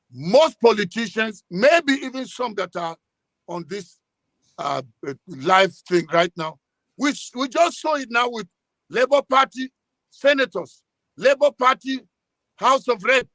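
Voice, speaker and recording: A high-voiced man with a Nigerian accent gives political commentary in a live discussion. He speaks emphatically, with stops and repetitions, and his sound is close and dry. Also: a bit bright, dry recording.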